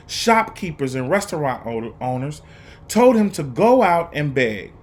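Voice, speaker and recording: A man reading a children's picture book aloud, phrasing it dramatically and deliberately with emphatic pauses. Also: on the high side. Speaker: storybook reader.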